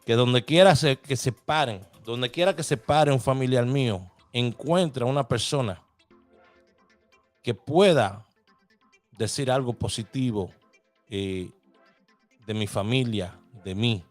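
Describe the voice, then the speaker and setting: A man hosting his show, talking in a preachy, repetitive way that drives each point home. His voice sits at an ordinary pitch and sounds dry and close, with faint music underneath. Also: takes it slowly, long pauses, no room sound. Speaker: host of a lottery numbers show. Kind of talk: casual motivational talk to listeners.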